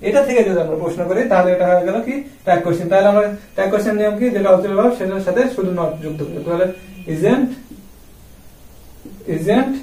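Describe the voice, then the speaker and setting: A man's voice: high-pitched, fast, long pauses, warm tone. A man explains like a classroom teacher. He asks questions and then answers them himself. The sound is echoey and picked up at a distance from the microphone. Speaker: English grammar teacher. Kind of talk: classroom-style lesson explanation. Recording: roomy echo, distant microphone.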